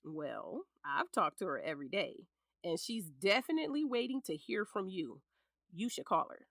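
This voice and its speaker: An actress with an American accent playing a sharp-tongued, protective middle-aged lawyer and scornful ex-wife in an audio drama, blunt and commanding. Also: female voice, on the low side, big pitch swings, normal speed, gravelly, dry recording, clean studio sound, exaggerated voice. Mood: businesslike, steady.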